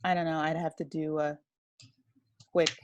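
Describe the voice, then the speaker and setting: A woman's voice: low voice, level tone, moderate pace, darkish tone, slight background noise. A woman speaking as an interview guest in a casual, reflective way, tentative and often correcting herself. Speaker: interview guest, writer and director.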